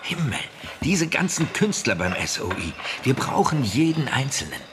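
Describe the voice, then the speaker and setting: An actor in a radio drama playing an unsentimental, impatient British spymaster, speaking with emphatic authority. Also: male voice, medium pitch, very animated, normal speed, noisy background, natural voice. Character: hard-nosed male British spymaster, brisk, impatient, unsentimental.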